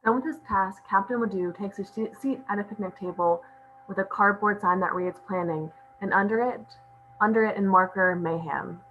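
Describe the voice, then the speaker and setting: A woman with a medium-pitched voice reads stage directions at a table read. The recording carries faint noise and hum. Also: normal speed, highs cut off. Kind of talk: scripted narration at a table read.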